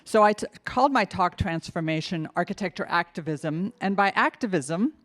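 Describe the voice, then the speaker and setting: A woman in her fifties, originally from Finland, delivers a keynote at a conference. Her voice sits low and she talks at an unhurried pace, stopping for fillers and restarting her sentences. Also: expressive, a bit bright. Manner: nervous start, many fillers and restarts. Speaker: keynote speaker. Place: conference hall.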